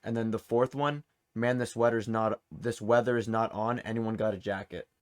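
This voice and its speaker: A man's voice: lowish voice, fairly even intonation, moderate pace. A man hosting a video game trivia quiz in casual chat, informally reading questions and answer options aloud. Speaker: quiz host.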